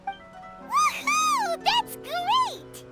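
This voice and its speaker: A tiny childlike fairy companion from an anime game. The squeaky voice sits extremely high and leaps all over in bubbly, wide-eyed exclamations. Loud music plays behind it. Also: female voice, very animated, extremely slow, exaggerated voice. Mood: gleeful. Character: tiny excitable floating fairy-like girl companion. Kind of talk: anime game dub acting.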